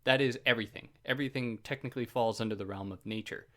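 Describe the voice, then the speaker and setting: A man's voice: medium pitch, fast talker. Male podcast host, conversational lecture delivery, easygoing and self-deprecating, prone to tangents. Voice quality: soothing voice. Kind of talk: casual explanatory lecture.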